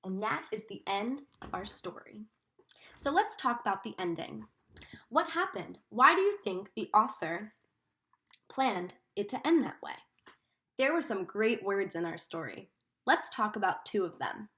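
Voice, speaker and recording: A woman teacher reading a storybook aloud expressively, in a deliberate, instructive manner. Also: medium pitch, very animated, pushed and bright. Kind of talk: expressive storybook read-aloud with comprehension questions.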